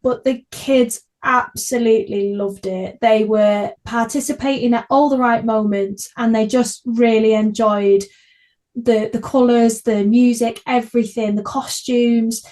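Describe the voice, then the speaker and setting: A woman's voice: fairly high pitch, steady tone, unhurried, mellow. A woman hosting a podcast, chatting casually in an enthusiastic, effusive way. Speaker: podcast host.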